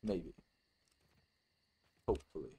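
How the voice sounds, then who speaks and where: A man's voice: slow pace, warm tone. A man giving casual, rambling let's play commentary with some swearing. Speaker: gaming YouTuber.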